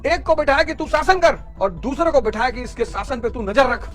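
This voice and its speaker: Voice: high voice, animated, fairly quick, fairly bright tone, faint music behind. A teacher explaining a point in a lecture, asking questions along the way.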